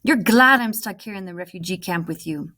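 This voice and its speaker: A woman's voice: mid-range voice, animated, a little fast, pushed and bright. A woman voicing a bitter, frustrated refugee boy from a storybook, with an insistent, impatient manner. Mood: angry, mean.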